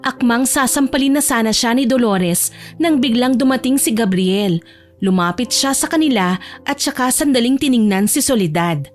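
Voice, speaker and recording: A woman's voice: fairly high pitch, animated, normal speed, soft background music. A woman narrator reading a scripted dramatic story for an audio drama.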